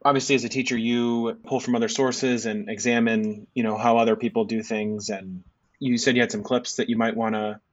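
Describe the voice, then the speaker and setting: A male podcast host prompts his guest in a conversational way. His pitch barely moves and stays in a middle range. The audio sounds dull and lo-fi. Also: level tone, a little fast. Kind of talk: interview prompting.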